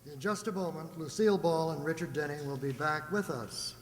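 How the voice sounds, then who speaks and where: A man's voice: a little high, a little fast. Male radio announcer in an echoey hall. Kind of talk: scripted old-time radio announcement. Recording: echoey hall, noisy hum.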